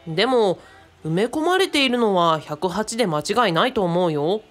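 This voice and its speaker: A woman's voice: medium pitch, sing-song, moderate pace, lightly acted. A woman playing a friendly, knowledgeable adult companion in a radio drama, explaining casually and thinking aloud. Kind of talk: conversational radio drama acting.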